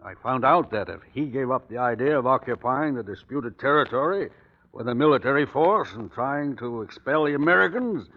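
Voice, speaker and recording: Husky hearty old general, radio drama. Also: male voice, medium pitch, expressive, a little fast. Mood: hearty, earnest. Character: hearty, loyal older American army general. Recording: dull vintage radio recording.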